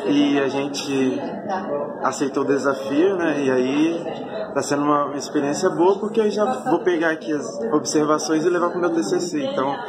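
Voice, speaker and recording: A man's voice: fairly high pitch, moderate pace, poor audio. A Brazilian man in his twenties, a student presenter, casually explaining things in an interview. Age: 20s. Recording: loud crowd chatter behind.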